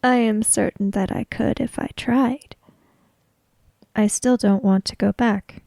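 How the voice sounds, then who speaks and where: Tabletop game role: guarded, anxious, stubborn samurai-era woman, played by a woman. Slow, clipped lines, slightly high voice. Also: steady tone, creaky, mellow, dry recording. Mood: resigned. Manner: terse, guarded, deliberate.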